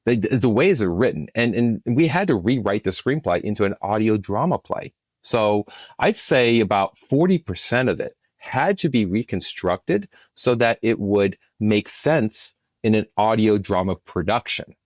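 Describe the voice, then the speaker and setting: A man with a fairly low voice talks through his work as an interview guest. The sound is dry and close, and his delivery is conversational, with phrases that he often restarts. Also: expressive, normal speed, dry recording.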